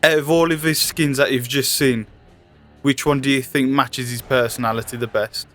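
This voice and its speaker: A man hosting his own video, chatting casually to viewers in an informal, low-key, rambling way. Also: steady tone, moderate pace, a bit bright. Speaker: video creator and host. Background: music.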